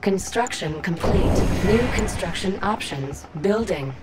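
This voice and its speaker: A woman voicing a cold alien AI announcer in a strategy game, giving terse, measured, commanding status reports. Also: mid-range voice, lively intonation, close to an everyday voice. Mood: impersonal, commanding. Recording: loud game music over voice.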